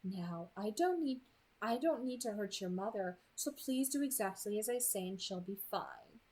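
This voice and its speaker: Woman voicing a softly menacing, polite man. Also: mid-range voice, lively intonation, normal speed. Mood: coolly amused. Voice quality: light, smooth, pleasant tenor. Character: pleasant, softly menacing man, coolly amused kidnapper on phone.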